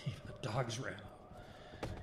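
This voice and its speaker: An actor playing a weathered man solemnly recounting a grim survival tale in halting, folksy, clipped sentences for a radio horror drama. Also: on the low side, fairly even intonation. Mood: grim recollection. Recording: roomy, clear background noise and ambience.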